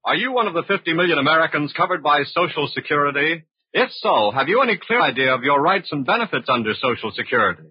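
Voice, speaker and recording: A man announcing on 1940s radio, formal and declamatory, delivering program titles and sponsor pitches on a vintage broadcast recording. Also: very animated, fast talker, dull audio. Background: prominent music.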